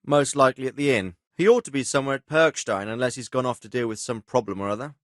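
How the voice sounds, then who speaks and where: An actor playing a stern, dry-witted middle-aged medieval lord in a video game, speaking with formal, measured authority and a wry, sardonic edge. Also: male voice, medium pitch, no echo, close to an everyday voice. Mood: measured, commanding. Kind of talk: video game dialogue acting.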